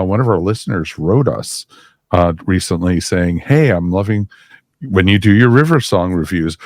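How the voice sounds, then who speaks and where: Male podcast host, very deep voice, dry close mic, loose rambling delivery. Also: a little mellow, dry recording. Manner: rambling, many fillers. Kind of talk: casual podcast chat.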